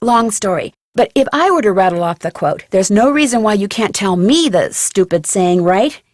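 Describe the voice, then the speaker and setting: An actress playing a polite, inquisitive young amateur detective in a video game, asking tactful, probing questions. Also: female voice, mid-range voice, animated, normal speed, no echo, clean studio sound, close to an everyday voice.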